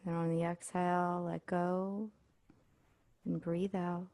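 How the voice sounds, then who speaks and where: Slow, gently creaky woman guiding meditation. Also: lowish voice, flat delivery, dry recording. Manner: soothing, unhurried instruction with pauses. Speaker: meditation and yoga instructor. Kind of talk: guided body scan meditation.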